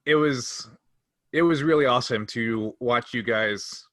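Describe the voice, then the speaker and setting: Man, bright forceful voice, dry close sound, casual explanatory podcast talk, game master. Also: medium pitch, a little slow, dry recording.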